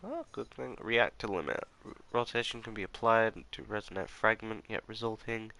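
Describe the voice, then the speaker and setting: A man hosting a let's play who sounds slightly nasal from allergies, musing and reading in-game documents aloud in a soft murmur. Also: lowish voice, moderate pace, gravelly. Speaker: gaming channel host.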